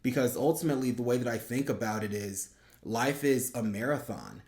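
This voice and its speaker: A young man talks in a roomy, echoing space. His pitch sits in the middle of the male range. He speaks conversationally, explaining his thoughts on faith in an earnest, reflective way. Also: lively intonation, brisk, darkish tone. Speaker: video host reviewing a song.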